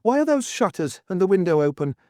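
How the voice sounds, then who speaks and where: Man doing a snarling old lord, high-pitched. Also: sing-song, a little fast, darkish tone, no echo, studio quality. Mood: irritable. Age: older. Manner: snarling, grumbling, cold and mocking. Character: old nagging suspicious male lord collector. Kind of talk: audiobook reading.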